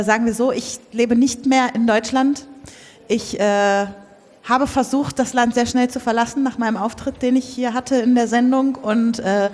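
A woman's voice: fairly high pitch, lightly acted. A woman playing an evasive former contestant who speaks hesitantly, in improvised comedy. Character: guarded, evasive former child contestant hiding her identity. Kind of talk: improvised comedy audio play. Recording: reverberant hall.

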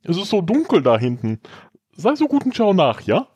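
Audio play casting: man as nervous male pastor, high husky voice, hesitant, easily intimidated. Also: huge pitch swings, moderate pace, warm tone, no room sound, exaggerated voice. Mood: nervous pleading. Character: timid, flustered male church pastor.

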